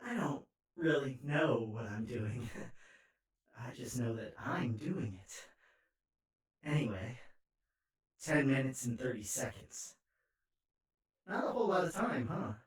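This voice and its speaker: A smug, homicidal station AI speaks from a deep, distant echo. The delivery swings up and down theatrically, chatty and taunting, as in a sci-fi audio drama. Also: male voice, expressive, takes it slowly, long pauses. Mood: gloating menace. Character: smug rogue station AI, gleefully murderous, theatrical. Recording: echoing, distant-sounding.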